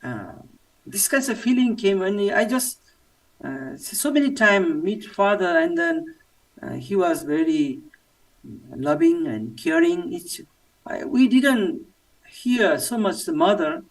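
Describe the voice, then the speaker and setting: High-voiced Nepali man, halting testimony. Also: big pitch swings, gravelly, distant mic, low-quality recording. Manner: halting non-native English with many fillers. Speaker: church member giving testimony. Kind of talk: personal testimony talk.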